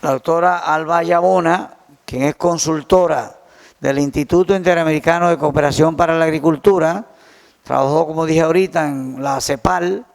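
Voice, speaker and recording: A man's voice: fairly high pitch, fairly even intonation, normal speed, vocal fry. A man moderating a conference and formally introducing a guest speaker. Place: conference hall.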